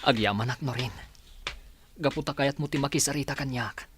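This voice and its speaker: A man's voice: mid-range voice, a little fast, background noise, natural voice. A man with a Filipino accent playing an earnest, wronged son returned home in an audio drama. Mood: subdued.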